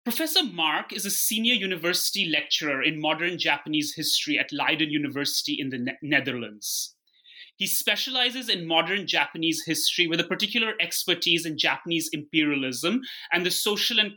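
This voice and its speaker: A man's voice: fairly high pitch, animated, brisk, bright, forceful tone. A man hosting a podcast, reading a formal guest introduction and asking the opening question. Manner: formal, scripted. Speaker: podcast host.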